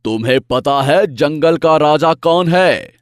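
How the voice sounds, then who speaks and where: A man's voice: a little high, takes it slowly, smooth, dry recording, clean studio sound, voice pushed up. A man voicing a bullying, boastful lion king in a cartoon animal fable, demanding and blustering. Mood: bossy, threatening. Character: bullying boastful lion king, cowed and whining once beaten.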